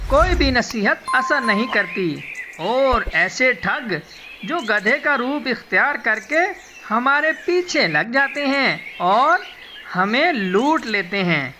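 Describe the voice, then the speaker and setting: A woman's voice: on the high side, background noise. A woman storyteller reading a scripted moral tale in storybook narration for a cartoon.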